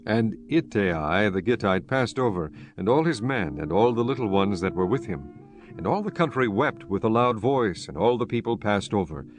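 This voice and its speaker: A man giving scripted Bible narration in a measured, solemn manner. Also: fairly low pitch, sing-song, soft background music.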